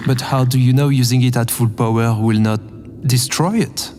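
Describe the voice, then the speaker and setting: A man's voice: medium pitch, steady tone, moderate pace, darkish tone, faint music behind, lightly acted. Downtrodden aide played by a man: meek, hesitant, deferential, comic audio drama, echoing hall. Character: meek, put-upon male assistant to an overbearing boss.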